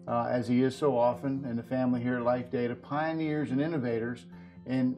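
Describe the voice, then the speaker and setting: A middle-aged man speaks as an interview guest in an ordinary mid-range voice. He explains earnestly, building long sentences with halting phrasing. Faint guitar music plays behind him. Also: medium pitch, darkish tone. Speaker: professional farrier, interview guest. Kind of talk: explanatory interview talk about horse nutrition products. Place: indoor video set at a display table.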